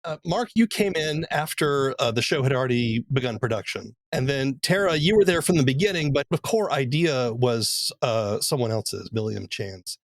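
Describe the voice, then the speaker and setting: A man hosting a podcast interview, asking thoughtful, halting questions. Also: mid-range voice, expressive, no echo. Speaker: podcast host.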